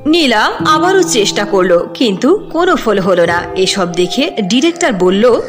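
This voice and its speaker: Female fairy-tale narrator: fairly high voice, very lively intonation, faint music underneath. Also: a little slow.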